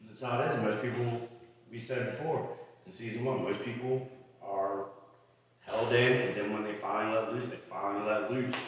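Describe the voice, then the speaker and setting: A man's voice: lowish voice, steady tone, normal speed. A man co-hosting a casual podcast, bantering and joking in a laid-back way. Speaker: podcast co-host. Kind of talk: casual chat. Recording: echoey room from far off with noise.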